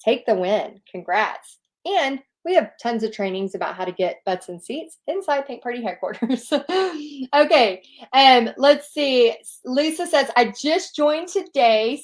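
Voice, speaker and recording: Upbeat encouraging woman with a Southern accent. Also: fairly high pitch. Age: adult, nearly two decades of experience. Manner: upbeat, encouraging, chatty with frequent "like" and laughs. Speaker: paint party instructor hosting a Q&A. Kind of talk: casual live Q&A, reading viewer questions.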